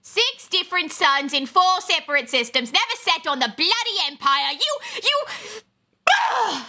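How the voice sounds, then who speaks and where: A woman's voice: very high voice, big pitch swings, unhurried, sharp tone, heavily acted. A woman playing a pompous, easily offended English countess who is a ship's computer, theatrical and indignant in a comic audio drama. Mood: appalled, flustered. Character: haughty, easily offended bodiless ship-computer countess, snobbish and melodramatic.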